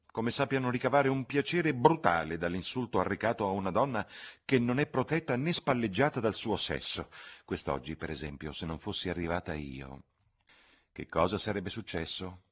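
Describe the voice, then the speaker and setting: A man's voice: on the low side, expressive, normal speed, pitched above the performer's own voice. A man voicing a fussy, reproving older spinster chaperone in an audiobook reading. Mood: insistent. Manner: mildly reproving, prim, insistent.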